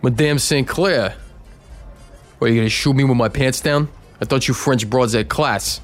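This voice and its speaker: An actor playing a brash, sneering 1930s mob boss in a radio drama, with a slangy, taunting New delivery. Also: male voice, mid-range voice, moderate pace, faint music behind, close to an everyday voice. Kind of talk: period radio drama acting.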